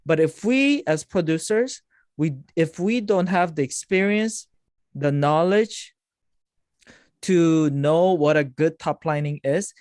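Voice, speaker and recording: A man's voice: a little high, slow pace, a little mellow, no echo, clean studio sound. A man hosting an online music production masterclass, explaining at length with frequent "like" and restarts. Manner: explains with many likes and restarts. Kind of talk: teaching over a video call. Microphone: video call.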